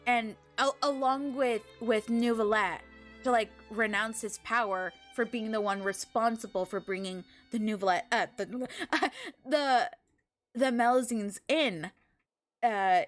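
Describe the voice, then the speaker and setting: A woman livestreamer chatting casually with viewers, halting and emotional in a gushing way. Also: fairly high pitch, fairly bright tone. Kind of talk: casual live game stream chat, recapping to viewers.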